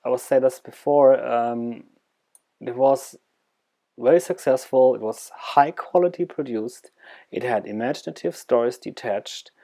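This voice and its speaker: A man with a German accent presents informally in a creaky, slightly dark voice. He speaks haltingly and searches for his words, yet stays enthusiastic. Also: medium pitch.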